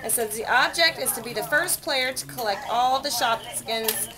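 A woman in her thirties sits at a home kitchen table, recorded on a phone camera, patiently reading out game rules and counting moves for her daughter. Her voice sits a little high. A television chatters on in the background. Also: normal speed, crowd noise, low-quality recording. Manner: patient, instructive, repeats "hold on" and counts moves aloud. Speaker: mother playing a board game with her young daughter. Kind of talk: casual home vlog, explaining and reading game rules aloud.

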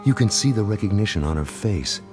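A male actor plays a brooding masked crimefighter in a motion comic. The voice is low, terse and grave, held tightly in control over background music. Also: animated, a little fast, darkish tone, natural voice. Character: stern, brooding male masked vigilante in adulthood. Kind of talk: motion comic dialogue and inner narration.

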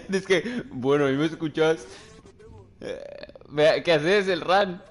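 A man's voice: high voice, a bit bright. A young man, chatting casually while streaming a game with friends, laughing often and teasing them. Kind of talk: casual gaming chat with friends.

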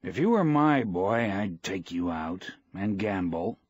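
A weathered old fisherman, acted by a male audiobook narrator, proud but gentle. He speaks in measured, plain words, in a close, dry recording. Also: sits low, very animated, slightly rough, dry recording, lo-fi sound, full character voice. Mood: gentle, resigned. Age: older.

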